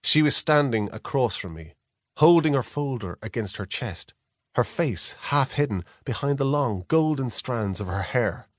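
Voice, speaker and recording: A man reads a first-person novel in a measured, reflective storytelling manner. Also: medium pitch, lively intonation, dry recording, clean studio sound. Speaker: audiobook narrator. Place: close, dry studio.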